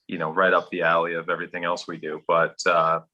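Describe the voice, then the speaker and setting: A man in his thirties with a faint Minnesotan accent. His low voice has a sharp, forceful edge. He tells his story in a steady, reflective way as a guest in a casual interview. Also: quick pace, dull audio. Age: 30s. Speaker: golf professional guest.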